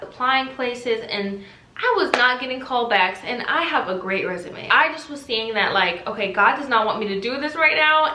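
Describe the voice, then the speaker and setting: A woman in her twenties, a faith vlogger answering viewer questions in a talkative, animated way, exhorting listeners with rhetorical questions. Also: on the high side. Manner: talkative and animated, self-described loud yappy voice. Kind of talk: casual faith chat, preachy Q&A. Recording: echoey room.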